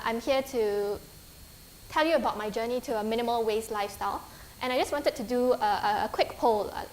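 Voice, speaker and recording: A woman's voice: a little high, slight background noise, poor audio. A woman in her twenties with a Singaporean English accent, giving a friendly, earnest conference talk with frequent ums and restarts. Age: 20s. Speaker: guest speaker. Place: lecture hall.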